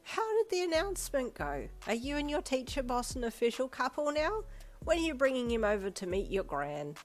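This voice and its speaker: A doting elderly grandmother with a high, gentle, cooing voice, as a woman narrator puts her on. She fusses tenderly over her grandchild. Soft music plays beneath her. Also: sing-song, fairly quick. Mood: cheerful.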